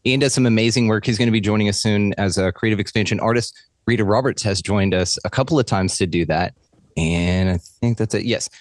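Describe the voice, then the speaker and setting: Effusive male host with a low-pitched voice. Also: a little fast, no echo, clean studio sound. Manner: effusive, encouraging, informal slang. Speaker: host of an online group show. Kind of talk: casual chat.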